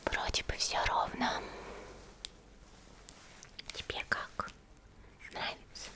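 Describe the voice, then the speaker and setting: A woman playing a friendly, attentive monster girl in a whispered ASMR roleplay, speaking gently and unhurriedly in a breathy murmur to the listener. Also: takes it slowly, natural voice. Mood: focused, attentive.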